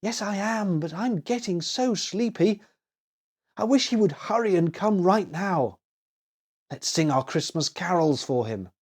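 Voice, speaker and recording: Man voicing a high-pitched anxious little girl. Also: lively intonation, measured pace. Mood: sleepy. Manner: childlike, fretful.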